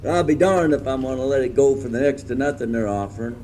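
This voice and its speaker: A man's voice: mid-range voice, fast talker, mellow, slight background noise, lightly acted. A man playing a worried rancher husband in an outdoor Wild West reenactment, plainspoken and folksy. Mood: resolute.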